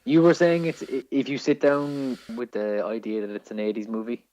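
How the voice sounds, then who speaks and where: A man's voice: mid-range voice, level tone, moderate pace, darkish tone. A man co-hosting a podcast, chatting casually about films. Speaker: podcast co-host. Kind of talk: casual film chat.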